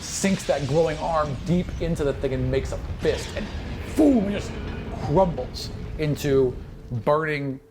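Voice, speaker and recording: A man's voice: fairly high pitch, unhurried. Man narrating a fantasy game over music. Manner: dramatic descriptive narration mixed with casual asides. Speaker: game master. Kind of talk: improvised narration of a tabletop game. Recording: music bed behind the voice.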